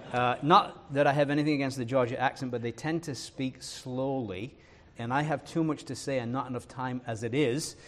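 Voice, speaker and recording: Earnest preacher with a Leeds accent. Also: male voice, medium pitch. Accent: Leeds English, touched by Irish and American. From northern England. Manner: earnest, fills pauses with "uh" and "um"; reads scripture slowly with emphasis. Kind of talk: sermon with scripture reading.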